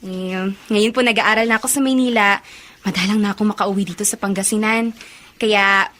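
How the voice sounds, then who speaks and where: An actress playing a sweet, affectionate young student in a Filipino radio drama, with a Filipino accent. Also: female voice, medium pitch, fairly even intonation, brisk, fairly bright tone, natural voice. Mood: cheerful, affectionate.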